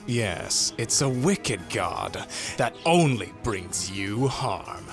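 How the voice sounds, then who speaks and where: A low-voiced, brooding villain from a manga duel, acted by a man, vengeful and theatrical. The delivery swings widely in pitch over prominent music. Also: expressive, drawn-out words, full character voice. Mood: menacing, smug. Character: vengeful, menacing young male card-duel villain. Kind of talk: dramatic manga voice acting. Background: background music.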